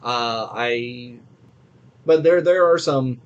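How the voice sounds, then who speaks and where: A man's voice: medium pitch, takes it slowly, clear, resonant tone, dull audio. A man co-hosting a podcast in casual review chat, talking in an opinionated, profane way. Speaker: podcast co-host.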